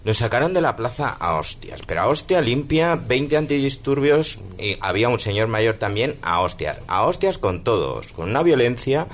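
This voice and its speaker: A man's voice: medium pitch, sing-song, moderate pace, few pauses. A man, an activist guest on a radio talk discussion, speaking bluntly and heatedly.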